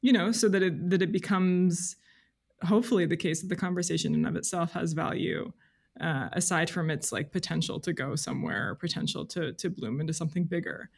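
A woman's voice: lowish voice, a little fast, gravelly, breathy edge. A woman speaking as an interview guest in casual conversation, thoughtful and hesitant as she works toward her point. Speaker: podcast guest, freelance journalist.

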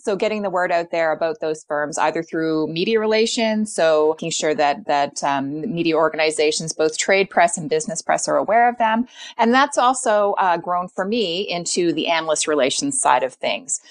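A woman's voice: low-pitched, normal speed, no room sound. A middle-aged woman guest consultant answering interview questions in an earnest, explanatory way, often repeating words as she thinks.

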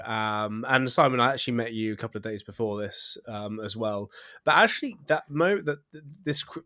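A man co-hosting a podcast in casual chat, soft-spoken and trailing off at the ends. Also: mid-range voice, dry recording, studio quality. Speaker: podcast co-host.

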